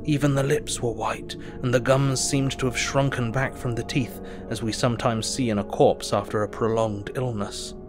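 An actor playing an earnest, anxious asylum doctor, narrating his diary in measured, literary audio drama style. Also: medium pitch, fairly even intonation, music in the background, natural voice. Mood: dread.

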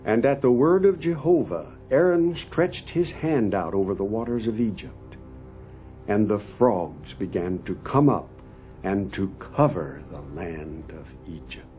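A man's voice: fairly low pitch, animated, a little slow, mellow, soft background music. A man narrating a biblical audio drama in a solemn, scripted style.

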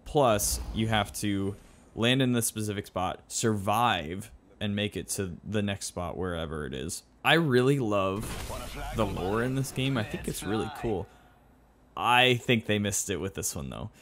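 A man with a somewhat low voice talks casually over gameplay. He is conversational and opinionated, like a streamer sharing his take. There is noticeable noise in the background of the recording. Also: lively intonation, a little slow, fairly bright tone. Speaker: video game streamer. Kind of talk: casual gaming commentary.

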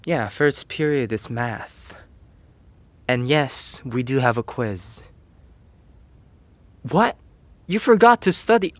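A man's voice: medium pitch, expressive, takes it slowly, pauses often. A young man playing a grumpy, teasing tsundere teenage best friend in an ASMR roleplay, scolding in an exasperated, whiny way.

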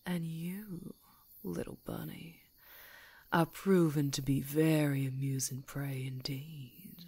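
Husky, very deep predatory werewolf played by a woman. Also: fairly even intonation, a little mellow, dry recording, full character voice. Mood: toying, threatening. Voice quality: breathy, husky. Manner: slow, menacing, teasing delivery. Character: predatory female werewolf hunter, menacing, gloating, playful. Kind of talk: whispered ASMR roleplay.

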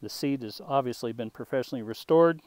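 A man presenting a restored vintage motorcycle for sale, talking in an unhurried way with a touch of humor while the camera circles the bike outdoors. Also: medium pitch, darkish tone, no echo. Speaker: classic motorcycle dealer. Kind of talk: off-camera sales walkthrough.

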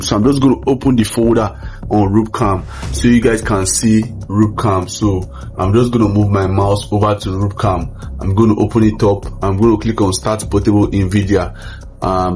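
Male tutorial host, lower-pitched, informal explainer delivery with frequent check-ins, music underneath. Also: little pitch movement, normal speed, darkish tone. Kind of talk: casual software tutorial. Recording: music behind the voice. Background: background music.

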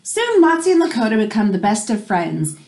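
A woman in her thirties reads a picture book aloud to children in an expressive, teacherly way, pausing to explain things. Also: mid-range voice. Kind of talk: read-aloud storytelling with explanatory asides for children. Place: small room indoors at home. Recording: home recording with background noise.

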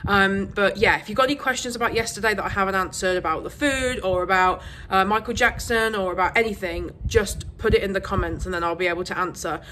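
Vlogger with a cold: croaky woman, chatty and rambling, mid-range voice over faint noise. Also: medium pitch, fast, few pauses, bright, forceful tone. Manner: chatty, rambling, with frequent ums. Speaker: travel vlogger. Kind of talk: casual vlog chat.

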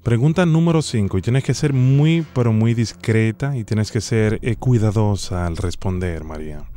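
Low-voiced mellow Dominican man, mock-official. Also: slight background noise. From Dominican Republic. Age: young adult. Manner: mock-formal, deliberate, official-sounding. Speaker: prank call show host. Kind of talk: phone prank, posing as an official. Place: small home studio. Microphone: close studio microphone on boom arm.

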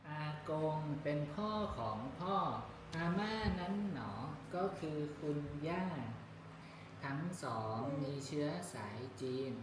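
A woman reading a rhyming picture book aloud to young children, pausing to point things out. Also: deep voice, animated. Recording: echoey room with clear background noise.

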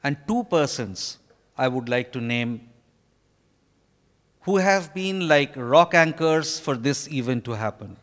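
A man in his fifties with an Indian accent gives a formal prepared speech in halting, deliberate short phrases. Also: fairly high pitch. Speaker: author addressing an event. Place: stage in a large hotel ballroom. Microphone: handheld microphone. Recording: reverberant hall, live stage microphone.

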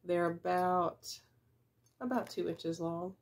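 Woman, fifties, head cold, stuffy, relaxed chatty unboxing, lower voice, small room. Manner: chatty, unhurried, with small laughs and fillers. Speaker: reseller vlogger. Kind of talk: casual unboxing chat. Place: small room with shelves of boxes, indoors. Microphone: camera at desk distance.

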